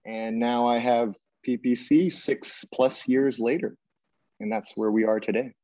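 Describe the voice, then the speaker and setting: A man, a strength coach and guest, telling his story in a relaxed, steady way over a video call. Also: medium pitch, a little slow, no room sound, lo-fi sound. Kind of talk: casual remote interview.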